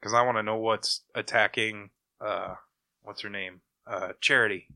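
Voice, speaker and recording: A man's voice: fairly low pitch, fairly even intonation, normal speed, gravelly, sharp tone, no room sound. A man co-hosting a podcast in a casual, chatty style. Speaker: podcast co-host. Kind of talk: casual chat.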